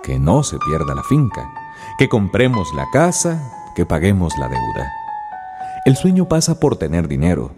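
A male narrator delivers a radio drama script in a low voice. His intonation moves widely through solemn, rhetorical phrases, with music clearly underneath. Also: huge pitch swings, normal speed. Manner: solemn, rhetorical list-like cadence. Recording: music behind the voice.

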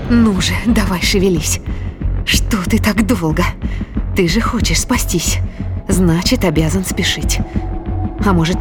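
Actress as a low-voiced suspicious woman fighter, music behind. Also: close to an everyday voice. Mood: impatient, taunting. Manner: muttering questions and remarks to herself. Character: tense, suspicious spacefaring woman fighter, muttering to herself. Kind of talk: audio drama acting.

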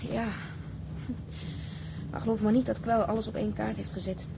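Captain's wife in dutch radio drama, netherlands accent, highish voice, caring but firm, noisy audio. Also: female voice, flat delivery, moderate pace, creaky. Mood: composed, shrewd.

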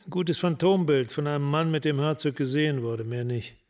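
Man acting a curt, higher-pitched detective. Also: fairly even intonation, fairly quick, a little mellow, natural voice. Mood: sceptical, curt. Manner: curt, probing questions. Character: sceptical, curt male homicide detective. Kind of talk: German radio drama dialogue.

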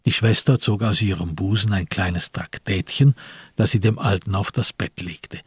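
A man with a husky, gravelly voice narrating a literary radio drama from a script. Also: low voice, normal speed, no room sound, studio quality.

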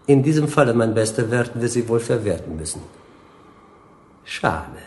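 A man plays a suave crooked president in a radio drama, with a middle-pitched voice in an echoey space. He is oily and condescending, orating with smug self-satisfaction. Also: sing-song, moderate pace, a little mellow, close to an everyday voice. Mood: oily, menacing. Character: smug, suave male villain president of organ trade. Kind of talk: German radio play acting. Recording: echoey room sound.

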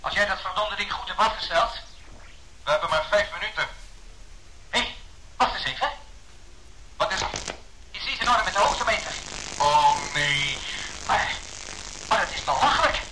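A man's voice: high voice, expressive, normal speed, pauses often. A man playing a bomber pilot on a dangerous run in a radio drama, speaking in clipped, urgent phrases. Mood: clipped, tense. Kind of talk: radio drama cockpit dialogue. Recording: echoey, noisy radio transmission.

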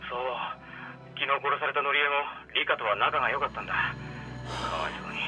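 A man's voice: mid-range voice, brisk, music in the background, close to an everyday voice, low-quality recording. An actor playing an earnest, concerned young man in a Japanese radio drama. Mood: concerned, subdued.

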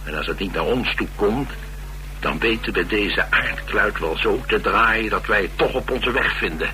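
An actor playing a cynical, battle-hardened adventurer who craves danger, talking persuasively in in a radio drama. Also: male voice, fairly low pitch, lively intonation, moderate pace, sharp tone, a little hiss or hum, poor audio. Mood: intense, persuasive. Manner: persuasive, emphatic monologuing.

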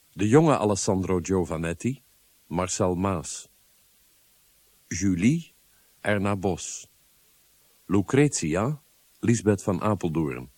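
A man reading scripted credits as a radio announcer in a measured, formal manner. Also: low-pitched, mellow, dry recording.

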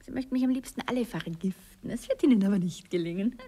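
An actress playing a vain, capricious stage diva in a 1960s radio play, teasing and condescending, often dropping to a breathy murmur. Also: female voice, medium pitch, quick pace, warm tone, natural voice. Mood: mocking, haughty. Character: capricious vain actress, teasing imperious seductress of her lover.

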